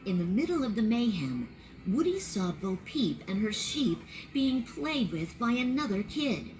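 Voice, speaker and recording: Expressive woman reading a storybook. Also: a little high, measured pace, noisy background. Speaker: narrator. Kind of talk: read-along storybook narration.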